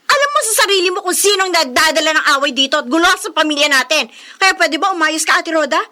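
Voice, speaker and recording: Sharp-tongued young daughter, filipino radio drama, super high bright voice, always scolding. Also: female voice, expressive, fast, heavily acted. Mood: angry, scolding. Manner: scolding, insistent. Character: indignant, dutiful younger daughter, sharp-tongued with her sister.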